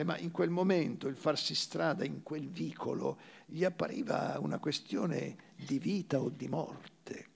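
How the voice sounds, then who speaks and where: A man giving a theatrical reading of literary fiction, with measured, deliberate phrasing and dramatic pauses. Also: fairly high pitch, gravelly. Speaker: actor reading a short story.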